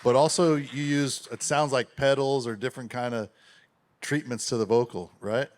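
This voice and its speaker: A man's voice: mid-range voice, fairly even intonation, normal speed, noisy background. Enthusiastic male interviewer. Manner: enthusiastic, complimentary. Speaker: interviewer host. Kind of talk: interview questions.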